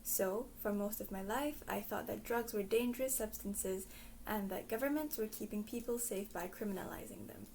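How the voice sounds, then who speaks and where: A woman from Singapore giving a scripted explanatory talk in a measured way. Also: mid-range voice, a little mellow, a little hiss or hum. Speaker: presenter. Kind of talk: scripted educational narration.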